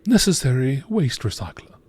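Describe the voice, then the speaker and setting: A man reading scripted text aloud in a measured nature documentary style, as an amateur narrator. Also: mid-range voice, very animated, a little mellow, slightly rough.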